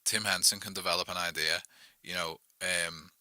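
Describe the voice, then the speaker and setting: A man's voice: low voice, steady tone, moderate pace, bright, forceful tone, no echo, clean studio sound. A young man with a Liverpool accent, a songwriter guest talking loosely and casually, full of "like" and "you know what I mean". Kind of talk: casual chat.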